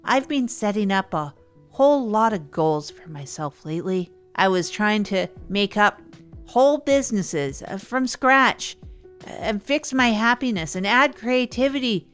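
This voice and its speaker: A woman's voice: medium pitch, expressive, measured pace, fairly bright tone, clean tone, soft background music. A woman playing an awkward, rambling self-help podcast host in a comedy audio drama, hesitant and often repeating themself. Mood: earnest, rambling. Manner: hesitant, repetitive, flustered.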